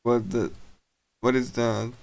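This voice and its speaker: A man streaming a visual novel, casually reading and translating game text aloud in a halting, hesitant way. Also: medium pitch, fairly even intonation, creaky, darkish tone, dry recording. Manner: hesitant, halting, with small chuckles.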